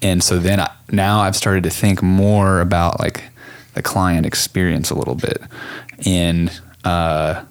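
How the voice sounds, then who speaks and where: A man's voice: sits low, a little slow, smooth. A man hosting a casual interview, a photographer himself, talking in a rambling way full of fillers. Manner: rambling, many fillers like "like", lively when quoting others. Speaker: podcast host, photographer.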